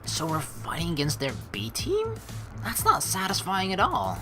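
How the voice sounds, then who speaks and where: A man's voice: sits high, wildly swooping pitch, moderate pace. A man doing casual, rambling, emphatic gaming commentary, swearing now and then, with game music behind him. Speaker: let's play streamer. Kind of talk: gaming commentary, reading game text aloud. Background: game background music.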